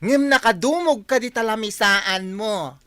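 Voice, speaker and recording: A woman's voice: fairly high pitch, unhurried, a bit bright, no room sound, clean studio sound. A woman with an Ilocano accent playing a breezy, bossy young office friend in a radio drama, exclaiming and coaxing. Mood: breezy, teasing.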